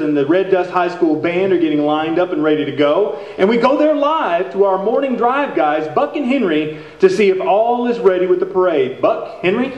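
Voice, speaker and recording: A male actor plays a folksy small-town radio announcer. His voice is high and he presents in old-time stage style. The recording is echoey and a bit muffled. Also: darkish tone, lightly acted. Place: theatre stage, indoors.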